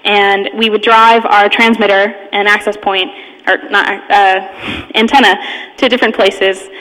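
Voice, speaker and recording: A woman giving an informal talk, telling a personal story in a halting, amused manner. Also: medium pitch, level tone, a bit bright. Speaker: conference speaker, radio hacker community member. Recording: echoey hall with long reverb.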